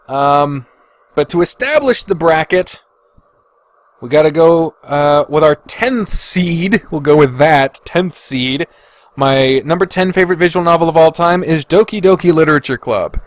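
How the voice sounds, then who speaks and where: A man with a somewhat high voice talks in a casual, informal way, as a solo podcast host. He thinks aloud as he ranks his picks. The recording is dry, with very little room sound. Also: no echo. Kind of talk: casual solo ranking commentary.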